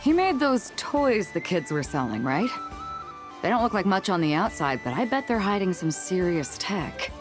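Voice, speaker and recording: A woman acting a composed, shrewd, commanding woman in a video game, with a cool, self-assured and measured manner. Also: fairly low pitch, very animated, over music. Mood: probing.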